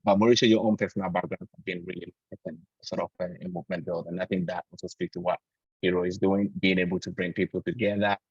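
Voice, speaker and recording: A man with a husky voice moderating a panel discussion in measured, hesitant phrases. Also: low-pitched, little pitch movement, darkish tone. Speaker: webinar moderator. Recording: muffled, roomy online call audio.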